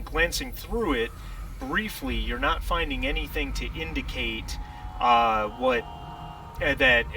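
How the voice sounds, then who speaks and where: A man running a tabletop game as game master, narrating off the cuff in a halting way, often repeating and restarting his phrases. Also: a little high, gravelly, bright, forceful tone. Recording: noisy, distant microphone.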